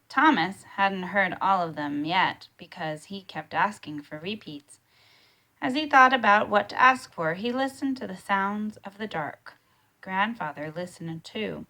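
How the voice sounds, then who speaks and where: A woman reads a children's story aloud. Her pace is slow and deliberate, her pitch sits in the middle, and she narrates expressively. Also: a bit bright. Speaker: storybook reader.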